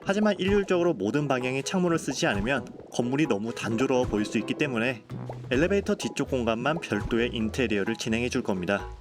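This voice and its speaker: A man tells his build step by step in a calm, courteous voice-over. His voice sits at an ordinary middle pitch. Cheerful background music plays clearly under him. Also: quick pace. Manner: calm, polite explanatory tone. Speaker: game building vlogger. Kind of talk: scripted voice-over narration.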